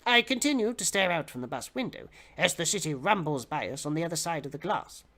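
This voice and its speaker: A man with a bright, forceful voice delivers a dramatic story reading. His pitch swings widely from phrase to phrase, and he treats the narration with theatrical, mock-serious weight. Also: animated, brisk. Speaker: reader of comic fiction on a livestream. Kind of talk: dramatic audiobook-style reading.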